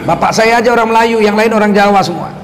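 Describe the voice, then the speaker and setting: Ustadz guy, high voice, sarcastic rant-y lecture, bit of faint background hiss. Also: quick pace. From North Sumatra, Indonesia. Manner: sarcastic, rhetorical questions to the audience. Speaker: Islamic preacher. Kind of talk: religious lecture with political commentary.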